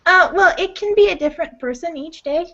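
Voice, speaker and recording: A woman playing a gentle, nurturing, somewhat hesitant werewolf mystic in a tabletop roleplaying game. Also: very high-pitched, brisk, lo-fi sound, voice pushed up. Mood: friendly. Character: gentle, nurturing female werewolf mystic who offers comfort.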